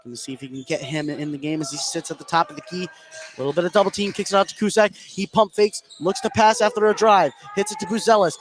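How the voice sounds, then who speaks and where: A man doing live radio play-by-play of a basketball game, getting excitable on big plays. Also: high-pitched, fast talker, soft background music. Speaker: play-by-play commentator.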